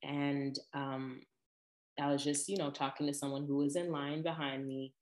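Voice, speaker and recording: A young woman in her twenties with a strikingly deep, low voice tells personal stories in a casual vlog. She talks in a chatty, expressive way and laughs easily between asides. The sound has a noticeable echo, as if recorded in a bare room. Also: normal speed. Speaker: vlogger. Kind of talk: casual personal storytelling to camera.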